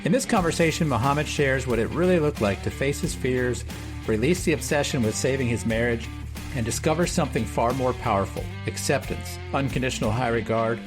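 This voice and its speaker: A man hosting a podcast interview, asking earnest, measured questions. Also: mid-range voice, music in the background. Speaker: podcast host.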